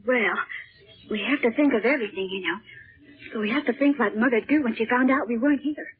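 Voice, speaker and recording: Excitable American girl, husky, tinny radio. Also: fairly high pitch, fairly quick. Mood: earnest worry. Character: earnest, excitable young American girl, devoted sister. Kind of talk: 1930s radio serial acting. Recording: muffled, tinny 1930s radio recording.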